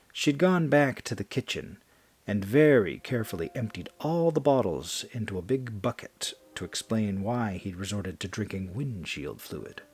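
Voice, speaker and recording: Deep-voiced man narrating horror, dramatic. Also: husky. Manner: theatrical, suspenseful delivery.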